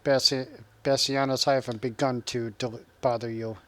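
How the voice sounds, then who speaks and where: Male streamer, relaxed running chat with viewers over gameplay, mid pitch. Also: moderate pace. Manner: chatty, reacts to chat and game, laughs often. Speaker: game streamer.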